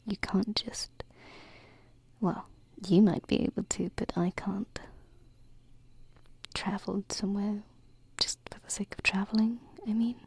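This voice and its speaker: A woman voicing a gentle, wistful alien princess in an intimate ASMR roleplay, speaking softly and hesitantly close to the microphone. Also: medium pitch, fairly even intonation, breathy edge. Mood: wistful, earnest. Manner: soft, hesitant, tender, unhurried. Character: gentle, wistful young alien princess, female, far from home. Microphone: close binaural ASMR microphone.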